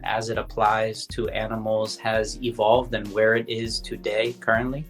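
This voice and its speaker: A man's voice: lowish voice, completely flat delivery, moderate pace, faint music behind. A man hosting an interview podcast, a chiropractor who asks questions and gives short encouraging replies.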